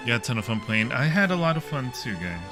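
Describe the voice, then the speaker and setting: A man's voice: mid-range voice, big pitch swings, quick pace, over music. A man hosting a livestream, chatting casually with viewers while playing a game. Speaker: livestream host. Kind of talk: casual livestream gaming chat.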